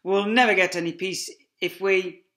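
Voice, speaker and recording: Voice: mid-range voice, fairly even intonation, moderate pace, pitched above the performer's own voice, close to an everyday voice. A reader putting on a bold father fox. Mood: worried. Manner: urgent, commanding shouts. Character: brave quick-thinking father fox, male.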